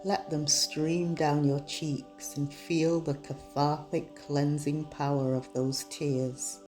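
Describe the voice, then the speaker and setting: A woman guiding a meditation in a soothing, measured, unhurried manner. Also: sits low, flat delivery. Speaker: meditation guide. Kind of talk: guided meditation narration. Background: soft soothing music.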